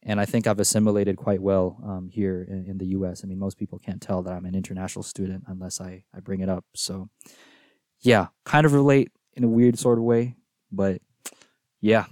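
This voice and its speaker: A young man from Singapore, raised speaking Filipino first, talks at length as a podcast guest in an easygoing, chatty way. His voice sits on the low side. It is recorded close and dry, with no room sound. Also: brisk, no echo. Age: young adult. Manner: relaxed, talkative, peppers speech with "like". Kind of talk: casual podcast conversation.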